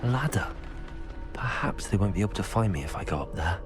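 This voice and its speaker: An actor playing an earnest, inquisitive teenage wizard student in a video game, thinking aloud. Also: male voice, fairly low pitch, expressive, fairly quick, close to an everyday voice. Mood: tense, cautious. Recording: loud game music underneath.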